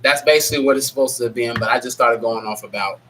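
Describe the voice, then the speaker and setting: A man's voice: medium pitch, fast, fairly bright tone, a little hiss or hum, rough recording. Stuffy-nosed man chatting on a livestream. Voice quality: nasal. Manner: rambling, candid and blunt, reads out chat names. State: sick with a stuffy nose and scratchy throat. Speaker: livestream host. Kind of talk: casual live Q&A chat.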